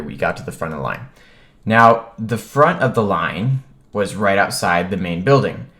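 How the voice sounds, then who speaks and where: Deep-voiced American man telling a story. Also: fairly bright tone. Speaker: vlogger. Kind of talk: casual first-person storytelling.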